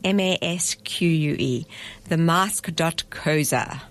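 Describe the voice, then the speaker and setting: Slow-speaking middle-aged woman, measured. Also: sits low. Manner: measured, articulate, spells words out carefully. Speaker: festival director, guest. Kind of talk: radio interview.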